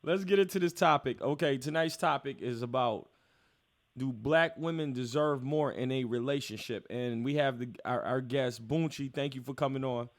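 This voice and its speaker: A man's voice: medium pitch, moderate pace. A man hosting a podcast, steering the talk with a teasing, profane, playful manner and frequent interruptions. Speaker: podcast host. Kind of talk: casual podcast chat.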